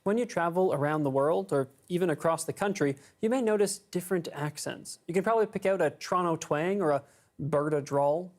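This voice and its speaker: A man presents the evening news from a television studio. His delivery is smooth and measured, in a polished broadcast manner. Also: fairly high pitch, a little mellow. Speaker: news anchor.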